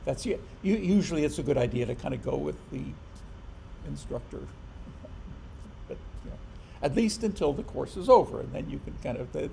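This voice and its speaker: A man teaching a programming class in an informal lecture, halting and restarting often, with a dry sense of humor. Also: fairly high pitch, vocal fry, warm tone, a little hiss or hum. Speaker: programming instructor.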